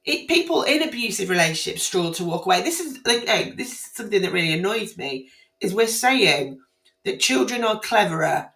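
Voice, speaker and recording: A woman with a croaky, hoarse voice, speaking casually and emphatically to her viewers about family court matters, often repeating herself and restarting phrases. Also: medium pitch, big pitch swings, fairly quick, a bit bright. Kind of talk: casual spoken commentary on guidance to camera. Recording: roomy echo.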